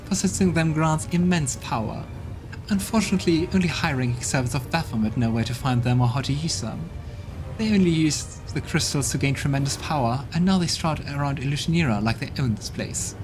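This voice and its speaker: A man putting on a scornful demon queen who talks down to everyone, her voice pitched up high and lilting with contempt. She scoffs at the weak and fools around her, game music rising behind her. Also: natural voice.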